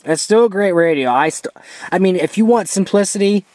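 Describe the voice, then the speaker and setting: A man giving a casual, hands-on product review as an amateur, informal and halting as he steps through features. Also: sits high, a little mellow, no echo.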